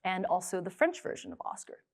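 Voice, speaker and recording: A woman's voice: lowish voice, big pitch swings, a little fast. A woman in her thirties giving a prepared conference presentation on a lapel mic. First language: English. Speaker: creative producer, presenter. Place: indoor studio stage. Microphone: clip-on lapel mic.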